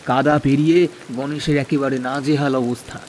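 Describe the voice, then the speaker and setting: A man's voice: mid-range voice, flat delivery, moderate pace, a little mellow, slight background noise. A man narrating a scripted horror cartoon story.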